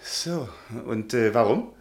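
A shy fussy professor in a radio play, hesitant then all worked up, voice goes up and down a lot. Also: male voice, mid-range voice, lively intonation, slow pace. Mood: flustered. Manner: precise, hesitant, flaring into agitation. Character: shy, pedantic male history professor, easily indignant. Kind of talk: German radio drama acting.

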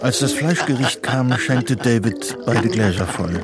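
An actor playing the sober narrator of a radio thriller, telling the story in a measured, detached way. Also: male voice, medium pitch, natural voice. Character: sober third-person narrator of a psychological thriller. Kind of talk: scripted radio play narration. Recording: music under the narration. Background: music.

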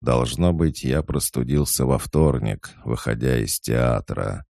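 A man playing a fussy, ailing young gentleman. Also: a bass voice, unhurried, vocal fry, dry recording, studio quality, heavily acted. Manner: fussy, complaining. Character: young man, journalist, fussy about his health.

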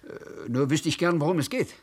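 An actor playing a hesitant, halting diplomat under questioning in a radio drama. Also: male voice, on the high side, breathy edge. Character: nervous, dignified male diplomat. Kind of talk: German radio drama acting.

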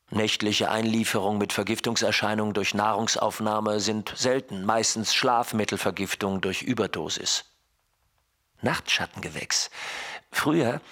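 A man playing a detached physician in a radio play, reciting clinical facts in a precise, matter-of-fact way. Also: lowish voice. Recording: clear background noise.

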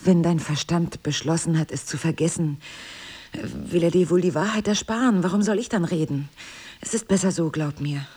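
Husky, cool, evasive wife. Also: female voice, fairly low pitch, dark tone. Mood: guarded, grave. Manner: coy, ironic, measured. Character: cool, teasing, evasive wife guarding a secret. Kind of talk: intimate two-person audio drama acting.

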